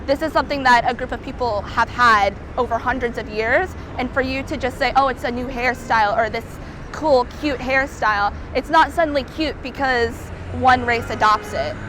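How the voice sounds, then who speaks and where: A young woman with a high voice gives earnest, candid answers in an interview, now and then pausing on fillers. She is recorded outdoors on a city street, with faint traffic noise behind her. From California.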